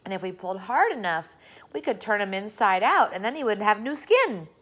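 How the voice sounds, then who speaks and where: A woman's voice: medium pitch, sing-song, a little fast, a bit bright. Woman doing a gloomy, complaining earthworm. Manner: mournful, complaining. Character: gloomy, fussy male earthworm.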